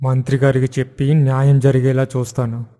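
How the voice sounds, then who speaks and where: A man playing a stern, commanding king who passes judgement in an animated moral story. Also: medium pitch, brisk, close to an everyday voice. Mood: assured.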